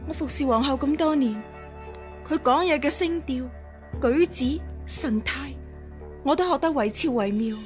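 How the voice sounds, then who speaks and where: An actress in a radio drama playing a frightened, scheming fake empress who pleads and stammers. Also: female voice, sits high, a little slow, soft background music. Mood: earnest confessing. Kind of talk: Cantonese radio drama acting.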